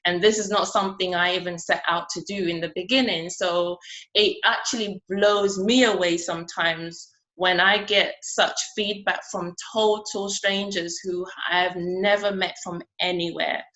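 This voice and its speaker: A woman from Ghana gives a conference talk about her art. Her voice sits a little low, and she speaks in an earnest, conversational way. She pauses often with a soft "um" between thoughts. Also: steady tone, rough recording. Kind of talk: conference speech.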